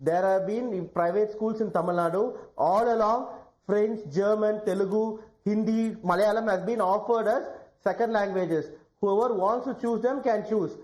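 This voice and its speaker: A woman speaking on a TV debate panel, explaining her party's position in a measured way. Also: medium pitch, a little mellow, roomy sound, rough recording.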